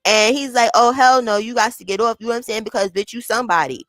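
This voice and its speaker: A woman with a high voice hosting a casual chat, talking in a blunt, profane, animated way. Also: fast talker, dry recording. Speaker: host.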